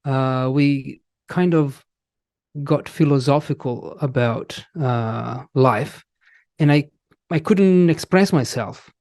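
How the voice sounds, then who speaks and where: Slow, halting Italian man. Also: medium pitch, darkish tone, dry recording, clean studio sound. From Italy. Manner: slow, halting, many restarts and fillers. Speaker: guest, English teacher. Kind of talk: casual interview.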